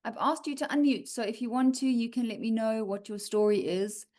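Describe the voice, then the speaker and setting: A woman's voice: on the high side, fairly even intonation, brisk, warm tone. A woman hosting a writing feedback session on a video call, chatting casually, reading out comments and reassuring writers with frequent "okay?" check-ins. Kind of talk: casual live chat reading and answering comments.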